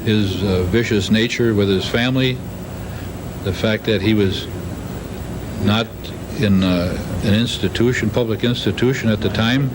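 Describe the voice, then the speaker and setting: An American man answering an interview haltingly, in hesitant, choppy phrases. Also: lowish voice. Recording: noisy archival TV interview.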